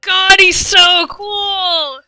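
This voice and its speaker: Excitable high, bright woman streamer. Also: extremely slow, a little hiss or hum. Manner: excitable, gushing fan talk. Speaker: game streamer. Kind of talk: live gaming commentary, casual chat. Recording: game music behind the voice. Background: video game music.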